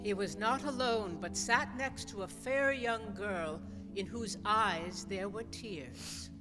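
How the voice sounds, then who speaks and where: A woman's voice: medium pitch, slow pace, lightly acted. A woman giving theatrical storybook narration as narrator in a radio play. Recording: reverberant hall, music underneath.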